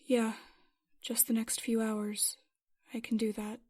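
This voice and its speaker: Woman playing a worried pilot, higher, even voice. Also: slow. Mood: reluctant, hesitant. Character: worried, self-doubting young female starship pilot. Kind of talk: Minecraft roleplay web series voice acting.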